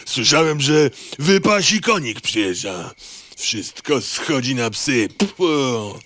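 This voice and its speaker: A man's voice: mid-range voice, very animated, takes it slowly, pushed and bright, heavily acted. An actor playing a crude, cheeky male insect postman in an audio drama, jokey and teasing. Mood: mocking.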